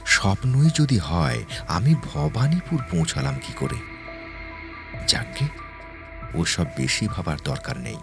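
Deep, slow male story narrator. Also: big pitch swings, creaky. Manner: suspenseful, with deliberate pauses and repeated words. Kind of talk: dramatic first-person scripted ghost story narration. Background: music.

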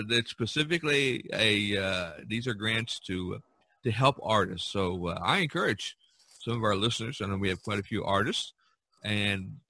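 A man hosting a casual podcast discussion, talking in a rambling, halting way with repeated phrases. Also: fairly low pitch, pushed and bright, no room sound. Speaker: podcast host and artist.

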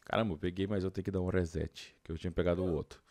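Deep-voiced young Brazilian man, casual gameplay commentary. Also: fairly even intonation, rapid-fire, a little mellow, no echo. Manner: informal, slangy, thinking aloud. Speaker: gaming video host.

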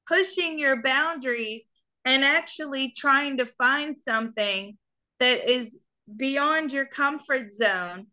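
Bright, high-voiced woman psychic host. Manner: animated, laughing, coaxing. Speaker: psychic reader and show host. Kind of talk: live call-in reading.

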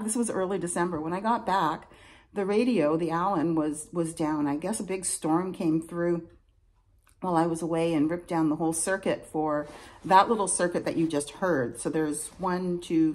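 A woman's voice: lowish voice, a little mellow. A middle-aged woman, a lighthouse keeper, chatting casually and rambling a bit to a phone camera at her kitchen table. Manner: chatty, rambling, self-interrupting. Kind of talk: casual vlog chat to camera. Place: home kitchen indoors.

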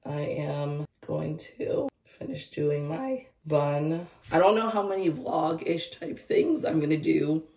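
Young woman, twenties, hoarse from losing her voice; casual rambling vlogger, slightly low pitch, camera held at arm's length. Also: expressive, slow pace, few pauses, dark tone, reverberant, far from the mic. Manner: rambling, lots of "like" and "I don't know". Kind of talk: casual vlog chat. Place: car, bedroom and outdoor park. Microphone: phone or camera held at arm's length.

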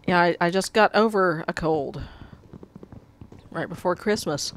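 A woman's voice: on the low side, measured pace. A woman with a cold playing a video game, reading the quest text aloud and swearing at her mishaps as she plays. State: has a cold, sucking a cough drop. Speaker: let's play gamer. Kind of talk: casual gameplay commentary.

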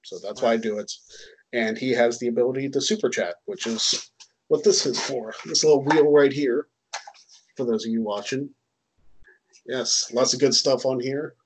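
Husky man co-hosting on a headset. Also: mid-range voice, normal speed, low-quality recording. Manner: rambling, self-deprecating, many ums. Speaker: co-host. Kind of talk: casual chat. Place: home room, indoors.

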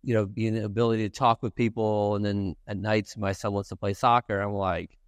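A man's voice: fairly low pitch, little pitch movement, a little fast, clear, resonant tone, no room sound. A man in his forties from Ukraine, Russian his first language, answering questions earnestly as a podcast guest in an office. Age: 40s. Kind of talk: casual interview. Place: bright office with a lounge set.

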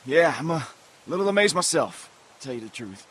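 A man playing a nervous, modest young survivor in a video game drama, husky and hesitant. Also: fairly high pitch, very animated, brisk, a bit bright, heavily acted. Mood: uneasy.

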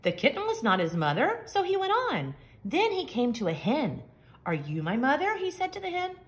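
A woman reading a picture book aloud to young children, with expressive, simple and emphatic phrasing. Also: high voice, normal speed. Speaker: children's story reader. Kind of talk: expressive storybook read-aloud.

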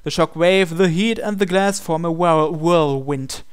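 A man's voice: a little high, measured pace, clear, resonant tone. A man reading visual novel narration aloud in a dramatic storytelling style. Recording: game music playing behind. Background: video game music.

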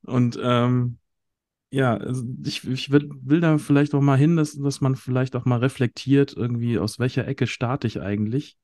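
Low mellow guy on a podcast, halting, repeats himself, really dry close sound. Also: fairly even intonation, no echo. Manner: halting, repeats words searchingly. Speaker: podcast panelist. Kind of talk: casual discussion.

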